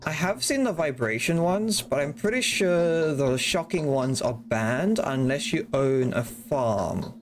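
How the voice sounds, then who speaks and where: A man streaming a game live, chatting loosely and rambling to his viewers and to himself. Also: medium pitch. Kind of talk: casual live-stream chat while playing.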